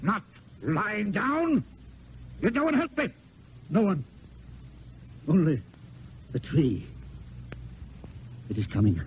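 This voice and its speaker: An actor playing a proud, lovelorn, dying poet-swordsman in an old-time radio play, with theatrical, declamatory delivery and drawn-out poetic phrases. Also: high voice, huge pitch swings, slight background noise, heavily acted. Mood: defiant, dying. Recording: dull lo-fi old radio, music.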